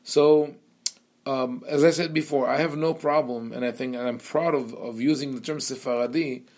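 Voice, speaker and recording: A man born in Israel, a rabbi lecturing a seminar class, with many pauses, fillers and restarts. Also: medium pitch, fairly even intonation, darkish tone.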